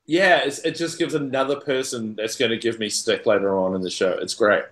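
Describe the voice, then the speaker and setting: A man from New Zealand, a podcast guest, chatting about his show in a loud, jokey, self-mocking way. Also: mid-range voice, fairly quick, reverberant. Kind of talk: casual chat. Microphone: USB mic, online call.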